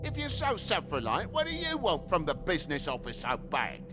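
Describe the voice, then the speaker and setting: An actor playing a snide, theatrical complainer in a video game. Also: male voice, on the high side, huge pitch swings, moderate pace, over music.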